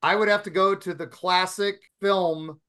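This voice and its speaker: Male podcast host, upbeat and jokey, bright slightly high voice. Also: fairly even intonation, unhurried, clear, resonant tone. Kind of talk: casual group chat, reading listener emails.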